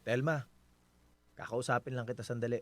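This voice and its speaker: A man with a Filipino accent playing a gentle, considerate husband in a radio drama, talking in a reassuring, conversational way. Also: medium pitch, steady tone, a little fast, soft background music, close to an everyday voice. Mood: tactful.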